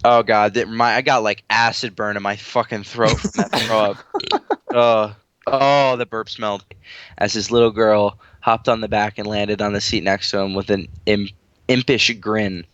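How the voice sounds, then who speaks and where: A man's voice: fairly low pitch, steady tone, normal speed, fairly bright tone, no room sound, highs cut off. A man reading a story aloud in a casual, amateur way, crude, giggly and easily sidetracked into banter. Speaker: reader on a comedic story-reading show with friends.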